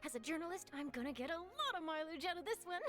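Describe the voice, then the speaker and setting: A woman playing an eager, perky young news reporter in a video game, with a brisk interviewing manner. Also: extremely high pitch, lively intonation. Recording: game music behind.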